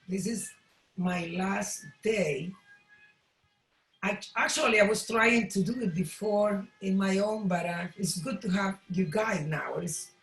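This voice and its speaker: A woman from El Salvador with a Spanish accent, sharing personally in halting English as a participant in an online class. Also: mid-range voice. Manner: halting non-native English, appreciative. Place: room, on an online video call. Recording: roomy, echoey video call sound.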